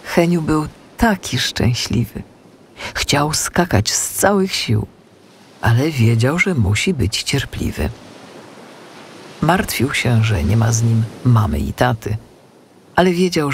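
A man narrating a children's story as an audiobook, with expressive scripted delivery. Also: medium pitch.

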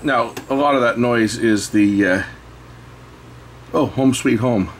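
A man's voice: mid-range voice, slow pace, over music, rough recording. A man giving casual hands-on commentary as a host, rambling and thinking aloud as he goes. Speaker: host showing old records. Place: indoors at a cluttered electronics workbench.

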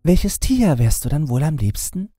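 A man voicing a curious little girl in a horror audiobook, speaking high and wide-eyed. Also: very animated, moderate pace, dark tone, slightly rough, no echo, soft background music. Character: curious little girl asking her father. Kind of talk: audiobook character voice.

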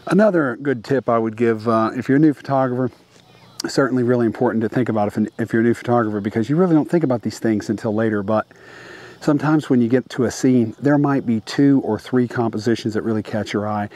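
A man's voice: mid-range voice, quick pace, darkish tone, no room sound. Casual middle-aged man talking outdoors. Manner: casual, rambling, many fillers and restarts. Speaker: landscape photographer. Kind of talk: outdoor vlog with photography tips. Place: outdoors by a lake at dusk.

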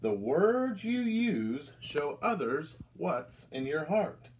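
An American man in his thirties reads a picture book aloud to children with deliberate, expressive storytelling delivery. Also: fairly high pitch. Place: indoors, small room with curtain backdrop.

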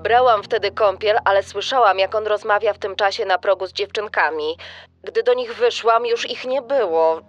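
A woman acting as a regretful young teacher in a true-crime audio drama. She gives her testimony at a middle pitch. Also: normal speed, muffled recording, soft background music, close to an everyday voice.

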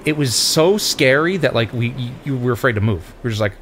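A man's voice: medium pitch, animated, fairly quick, fairly bright tone. Middle-aged man, casual podcast chat, rambling with fillers, faint hiss behind. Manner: rambling, loose storytelling with many fillers. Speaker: podcast co-host.

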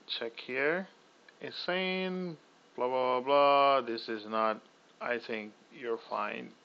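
A young man casually talking through a software tutorial, thinking aloud with fillers and restarts. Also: medium pitch. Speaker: tutorial host. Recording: slightly dull lo-fi audio.

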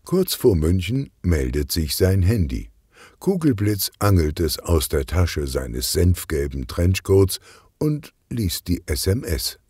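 A man's voice: deep voice, very animated, takes it slowly, mellow, slightly rough, dry recording, studio quality. A man narrating a children's detective story from a script. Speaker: audiobook narrator.

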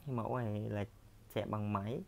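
A man's voice: lowish voice, steady tone, fast, gravelly, a little hiss or hum. A man giving a chatty, repetitive sales walkthrough of furniture in his workshop, filmed on a handheld phone. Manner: chatty, repetitive, filler-heavy.

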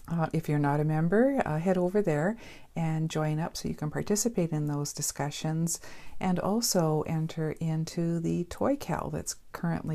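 A woman's voice: deep voice, dark tone. A middle-aged Canadian woman hosting a craft podcast from her home studio, chatting casually with many ums and uhs. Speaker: podcast host.

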